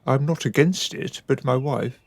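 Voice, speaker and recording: A man's voice: medium pitch, normal speed, vocal fry, breathy edge, no echo. An actor playing a proper, wary upper-class father with a formal, reserved manner in a radio drama. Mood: hesitant.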